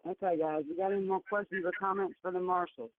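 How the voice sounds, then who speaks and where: A woman's voice: lowish voice, fairly even intonation, fast, no room sound. A woman from Georgia in the United States explaining things emphatically and repetitively on a conference call she moderates. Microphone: telephone. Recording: muffled tinny phone conference line.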